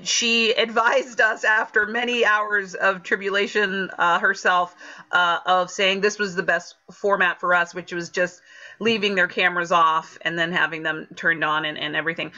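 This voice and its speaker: Bright, enthusiastic woman on a video call. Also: on the low side. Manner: enthusiastic, effusive. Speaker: community theater member on a talkback panel. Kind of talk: casual panel chat. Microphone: computer mic on video call. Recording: dull, lo-fi video call audio.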